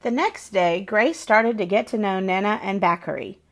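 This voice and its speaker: Female storybook narrator, mid-range voice, expressive read-aloud for young children. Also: mid-range voice, moderate pace. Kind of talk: expressive children's picture book reading.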